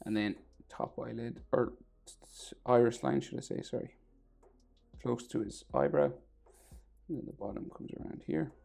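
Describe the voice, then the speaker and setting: A man with a sore throat and a crackly, gravelly voice, talking casually through a drawing tutorial, often trailing off to a near whisper. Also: medium pitch, moderate pace, darkish tone.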